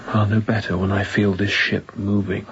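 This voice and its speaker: An actor playing a young, newly appointed sea captain in a radio drama, clipped and guarded, with an uneasy edge. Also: male voice, on the low side, level tone, moderate pace, close to an everyday voice. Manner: clipped, quiet-spoken, guarded. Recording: muffled sound, faint background noise.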